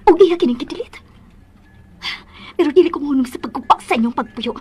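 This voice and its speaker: A woman's voice: high voice, quick pace, darkish tone, close to an everyday voice. An actress playing a scheming, furious jealous woman in a melodramatic radio drama, with venomous delivery. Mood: bitter, scheming.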